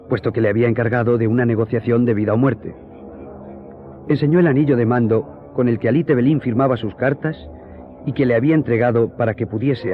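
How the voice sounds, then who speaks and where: An actor in a radio drama playing an earnest friend who recounts a hearing in formal, measured storytelling. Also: male voice, mid-range voice, natural voice. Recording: tinny, narrow-band audio.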